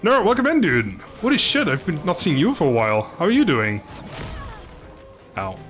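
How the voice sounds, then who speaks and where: A man's voice: on the high side, huge pitch swings, normal speed, a bit bright. Casual male game streamer, music behind. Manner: informal, joking, mild swearing. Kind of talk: casual live gaming commentary, chatting with viewers.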